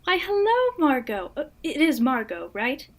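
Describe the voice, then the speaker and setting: A woman's voice: high-pitched, wildly swooping pitch, normal speed, noisy background. A woman playing a genteel, flirtatious 1950s housewife in an audio drama, gracious and coy, with leading questions. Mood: inviting.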